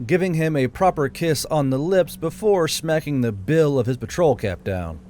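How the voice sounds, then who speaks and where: A man's voice: a little high, animated, normal speed, darkish tone. A man reading scripted fantasy narration as an audiobook narrator. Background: background music.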